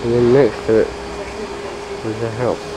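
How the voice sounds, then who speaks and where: A man filming a walking tour outdoors, pointing out sights in a slow, halting way on the camera mic. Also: mid-range voice, little pitch movement. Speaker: tour guide filming a town walk. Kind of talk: casual walking-tour commentary. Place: outdoors on old town streets. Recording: loud street traffic noise.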